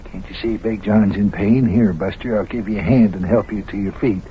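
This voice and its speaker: An actor playing a bossy, folksy small-town mayor in an old-time radio comedy, with blustery, ungrammatical speech. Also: male voice, on the low side, steady tone, brisk, dull audio, lightly acted. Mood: scolding. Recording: thin, noisy old radio recording.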